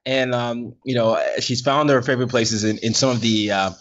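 A man's voice: medium pitch, steady tone, normal speed, a bit bright, lo-fi sound, a little hiss or hum. A man hosting a podcast, effusive and upbeat, often repeating himself as he talks to listeners and his guest. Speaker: podcast host. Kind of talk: podcast intro and interview.

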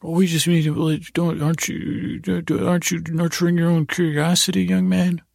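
Gravelly, pedantic inner philosopher played by a man. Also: fairly high pitch, flat delivery, normal speed, darkish tone, dry recording, voice pushed up. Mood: pedantic, eager. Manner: hesitant, pedantic, stammering. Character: pushy philosopher in a sleeper's head, lecturing and nosy.

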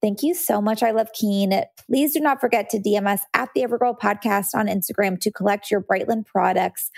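A woman's voice: mid-range voice, fairly quick, vocal fry. A young adult woman hosting a podcast in a bubbly, chatty way, her voice a little scratchy. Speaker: podcast host. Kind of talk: casual podcast chat.

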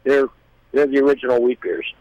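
A man's voice: mid-range voice, fairly even intonation, brisk, no room sound, dull audio. Man rambling in casual, dry-sounding chat. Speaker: guest, musician.

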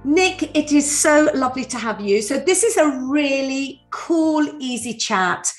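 A woman's voice: high-pitched, expressive, unhurried, soft background music. A woman hosting a podcast interview, chatty and reassuring with her guest. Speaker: podcast host.

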